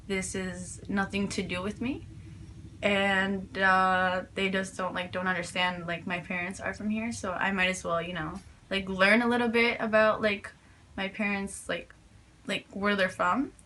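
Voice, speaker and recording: Teen girl from New York, interviewee, halting filler-heavy answers, faint noise behind. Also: mid-range voice, pushed and bright. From New York, USA. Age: teens. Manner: hesitant, many fillers and restarts.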